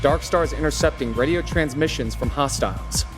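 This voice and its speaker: A man playing a dutiful military radar officer in an audio drama, speaking in crisp radio procedure. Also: fairly high pitch, fairly quick, natural voice. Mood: urgent, businesslike. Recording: loud music over the voice.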